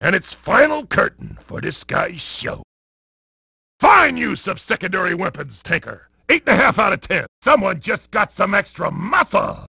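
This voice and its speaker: An actor voicing a bombastic, wisecracking, gleefully violent sports announcer in a video game, with a gravelly, raspy voice and hammy delivery. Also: male voice, sits high, sing-song, moderate pace, pushed and bright, no echo, exaggerated voice. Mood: wry, gloating. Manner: hammy, wisecracking, often bellowing. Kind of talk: over-the-top sports commentary for a video game.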